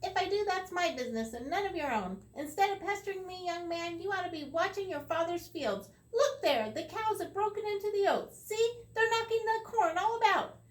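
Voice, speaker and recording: A woman voicing a tiny, sly old male leprechaun shoemaker in a high, whining voice. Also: expressive, moderate pace, hardly any pauses. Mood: sharp. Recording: echoey room, faint ambience.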